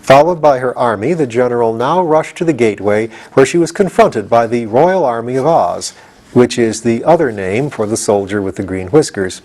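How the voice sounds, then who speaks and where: Animated male storybook narrator. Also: medium pitch, moderate pace, low-quality recording. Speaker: audiobook reader.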